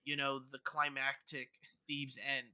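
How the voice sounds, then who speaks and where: A man's voice: mid-range voice, little pitch movement, takes it slowly, sharp tone, clean tone. A man co-hosting a podcast in casual discussion, earnestly rambling as he thinks aloud. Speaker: podcast co-host.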